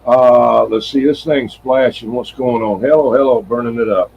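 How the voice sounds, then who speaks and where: A man's voice: medium pitch, fairly even intonation, normal speed, gravelly, a little hiss or hum. A man in casual, rambling, folksy conversation, hosting a CB radio live stream. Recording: dull, lo-fi CB radio sound.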